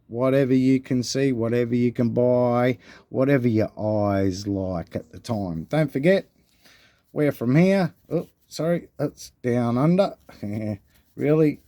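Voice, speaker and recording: A man with an Australian accent and a deep voice talks slowly, his tone dark and gravelly. He chats laid-back and casual to the viewers, swearing freely and calling them mate. He is filmed indoors at a table, with the camera looking down at his hands. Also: no room sound. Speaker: coin collecting vlogger. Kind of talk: casual show-and-tell chat.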